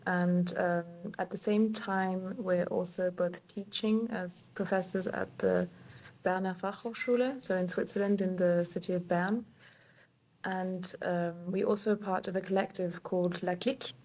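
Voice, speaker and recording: A woman in her thirties from Sweden, an architect, answering podcast questions calmly and hesitantly with many fillers. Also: lowish voice, measured pace, poor audio. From Sweden, raised in several countries. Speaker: architect, guest.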